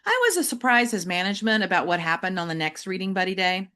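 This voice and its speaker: A woman reading a children's novel aloud like an audiobook, with deliberate, emphatic and varied phrasing. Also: mid-range voice, expressive, sharp tone. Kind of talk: expressive audiobook-style storytelling for children.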